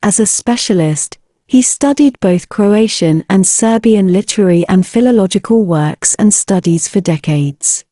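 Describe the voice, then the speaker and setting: A woman narrating a scripted history lecture in an even, read-aloud manner. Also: medium pitch, a little slow, no room sound, studio quality.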